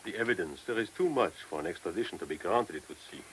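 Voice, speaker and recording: A faintly hissy old radio play recording features a shrewd German-speaking man of authority. His voice is low and his words come quickly, in formal and courteous phrasing. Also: animated, poor audio. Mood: approving, guarded.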